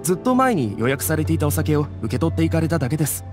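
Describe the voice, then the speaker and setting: Brisk, courteous shop waiter. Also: male voice, a little high, big pitch swings, music in the background. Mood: helpful. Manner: very polite, smooth service talk. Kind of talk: anime game dialogue.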